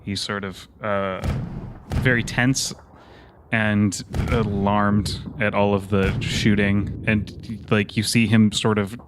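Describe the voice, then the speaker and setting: A man playing a tabletop game, casually improvising narration of his character in a halting way. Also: on the low side, flat delivery, noisy background.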